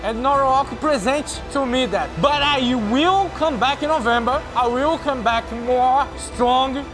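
A man from Brazil with a Brazilian Portuguese accent and Portuguese as his first language. He speaks halting, earnest English as a robot competitor giving a casual interview. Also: very high voice, over music. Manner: halting non-native English, earnest and excited.

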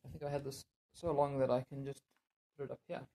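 A man giving casual hands-on DIY commentary, muttering and thinking aloud as he works, with a gravelly, slightly husky voice. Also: medium pitch, little pitch movement, fast, warm tone, slight background noise. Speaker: DIY video maker. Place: indoors in a home room.

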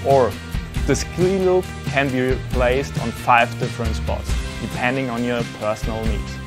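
A man reading a scripted product promo in a brisk, clean manner. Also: medium pitch, fairly even intonation. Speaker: product presenter. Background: guitar music.

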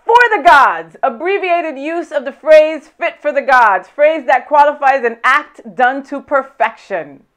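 A woman's voice: high voice, unhurried, hardly any pauses, a bit bright. A woman in her thirties hosting a web show from a small studio set, reading out definitions and giggling with her guest. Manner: upbeat, giggly, reads definitions aloud. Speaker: host. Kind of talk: hosted web show segment.

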